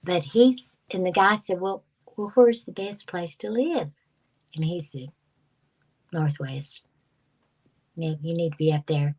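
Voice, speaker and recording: A woman's voice: on the low side, steady tone, measured pace, long pauses, darkish tone, low-quality recording. An older woman, a guest psychic reader, casually recounting a personal memory with many restarts and fillers. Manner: reflective storytelling with many restarts. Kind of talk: casual conversation.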